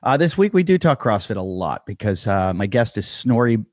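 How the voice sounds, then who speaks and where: A man in a dry, close-miked room hosts a casual podcast in a lowish voice. He rambles, jokes at his own expense and leans on fillers. It sounds like an unscripted chat to his listeners. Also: big pitch swings, moderate pace, darkish tone, no echo. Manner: rambling, self-deprecating, with frequent fillers. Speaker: podcast host.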